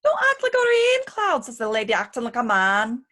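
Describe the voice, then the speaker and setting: A woman's voice: on the high side, wildly swooping pitch, a little fast, sharp tone, full character voice. A woman playing a sassy, sarcastic lady's maid, loyal but teasing, in a radio play. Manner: sarcastic, needling.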